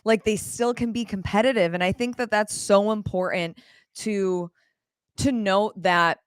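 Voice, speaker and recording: A woman's voice: medium pitch, steady tone, a little slow, clear, resonant tone, no echo, clean studio sound. A woman hosting a casual interview, speaking in an encouraging, reflective way. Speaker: podcast host, interviewer.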